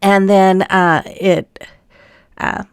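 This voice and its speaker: A woman's voice: mid-range voice, steady tone, extremely slow, clear, resonant tone, no echo, clean studio sound. A middle-aged woman with a stuffy cold, chatting through a DIY project step by step with frequent ums. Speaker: craft channel host. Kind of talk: narrated DIY voiceover.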